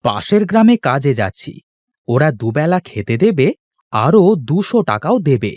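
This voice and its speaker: A man's voice: a little high, sing-song, normal speed, a little mellow. A man voicing a poor, hardworking village farmhand and devoted husband in a cartoon, earnest, deferential and plaintive. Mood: eager. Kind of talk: Bengali moral-story cartoon voice acting.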